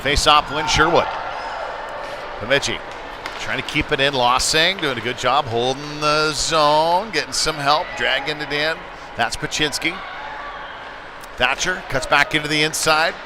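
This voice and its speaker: A man doing live play-by-play of a youth hockey game in a conversational manner that rises to excitement with the play. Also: a little high, measured pace, long pauses. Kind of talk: live sports commentary with casual asides. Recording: clear noise of a live sports venue.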